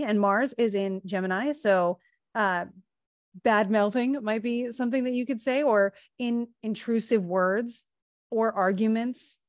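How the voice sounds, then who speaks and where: A woman's voice: medium pitch, no echo, clean studio sound. Chatty woman co-hosting a spiritual podcast. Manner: thoughtful, explains at length with many fillers and restarts. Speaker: podcast co-host, astrologer. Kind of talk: casual spiritual podcast chat.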